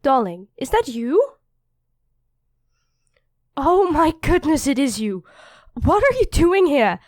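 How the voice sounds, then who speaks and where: A feisty demon queen played by a woman in an audio roleplay, with a fairly high voice. She is theatrical and imperious with her minions, yet doting with her lover. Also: very animated, slow pace, darkish tone, exaggerated voice. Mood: shocked, flustered. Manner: theatrical, commanding, switching to tender. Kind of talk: dramatic ASMR roleplay acting.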